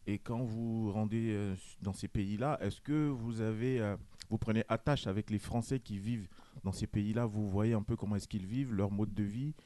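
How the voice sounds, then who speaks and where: A man taking part in a debate, asking a guest measured questions. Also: lowish voice, dark tone, no echo. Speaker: debate participant.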